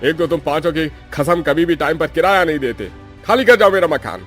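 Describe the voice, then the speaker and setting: A man's voice: on the high side, expressive, brisk, fairly bright tone, soft background music. A man voicing a grumpy, bullying landlord in a cartoon story, scolding and blustering. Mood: scornful, threatening. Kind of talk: Hindi cartoon story acting.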